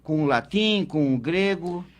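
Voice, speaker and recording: A man's voice: fairly high pitch, animated, a little slow. A man from Brazil, hosting an interview and asking informal, curious questions. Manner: informal and curious, short prompts and backchannels. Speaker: interviewer, host.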